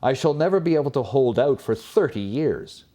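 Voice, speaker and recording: A man voicing a melancholy monkey in a fable, a sad clown speaking in a rueful, hushed, formal way. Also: mid-range voice, animated, normal speed, noisy background, natural voice. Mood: rueful, gloomy.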